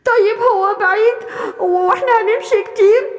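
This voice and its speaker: Tearful little gazelle for a kids' story, Egyptian accent, a woman voices her, very high. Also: moderate pace. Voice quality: crying, pleading. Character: small lost female baby gazelle, timid and tearful. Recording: reverberant room.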